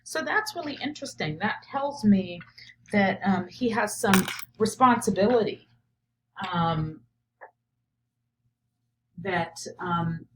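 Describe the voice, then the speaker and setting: A woman's voice: mid-range voice, a little hiss or hum, rough recording. Middle-aged woman reading and thinking aloud. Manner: reflective, thinking out loud, often drops to a murmur while noting ideas. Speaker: teacher, professor. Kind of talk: children's story read-aloud with spoken think-aloud commentary.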